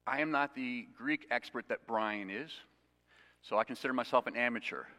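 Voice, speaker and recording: A man's voice: mid-range voice, moderate pace, pushed and bright. A man preaching an expository sermon to his congregation, conversational with self-deprecating asides. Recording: long reverb of a hall.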